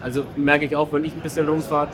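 Friendly podcast host, crowd behind. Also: male voice, a little high, fast talker. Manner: friendly, relaxed, with fillers. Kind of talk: casual interview chat.

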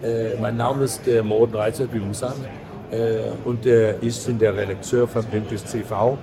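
A man from Belgium, a podcast guest who speaks halting with many fillers and restarts. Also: lowish voice, steady tone. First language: not German. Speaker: podcast guest, editor of a print-industry film channel. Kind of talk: casual interview chat. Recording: crowd noise behind.

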